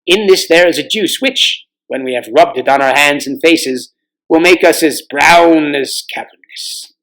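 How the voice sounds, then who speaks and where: A man's voice: a little high, a little slow, a bit bright, rough recording. A man reading as a courtly, formal storybook king. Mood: confident, commanding. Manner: archaic, formal speech. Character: courtly Narnian king, noble, grave, commanding, kindly.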